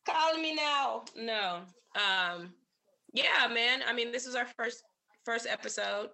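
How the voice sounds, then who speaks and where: A woman's voice: on the high side, takes it slowly, creaky, bright, forceful tone, rough recording. A woman co-hosting a podcast in casual chat, teasing and laughing easily, with many ums and a little tipsy. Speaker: podcast co-host.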